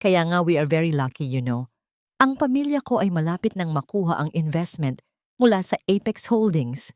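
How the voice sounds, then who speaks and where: A woman's voice: lowish voice, sing-song, moderate pace, no room sound, clean studio sound. Woman acting a haughty, sneering rich socialite. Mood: mocking, haughty. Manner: mocking, condescending, Taglish code-switching.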